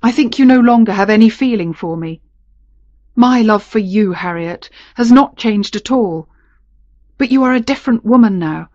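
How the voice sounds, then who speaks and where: A woman narrator voicing a lovelorn gentleman captain, earnest and romantic, in a storybook. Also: medium pitch, measured pace, no room sound, lo-fi sound, natural voice. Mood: hurt, resigned. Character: ardent, pleading gentleman captain in love.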